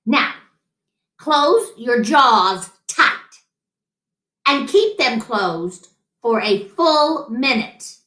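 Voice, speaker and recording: A woman's voice: fairly high pitch, big pitch swings, drawn-out words, long pauses, bright, forceful tone, voice pushed up, heavily acted. A woman reading the part of a stern, dutiful male mouse dentist in an emphatic, no-nonsense way. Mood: instructing. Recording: roomy echo.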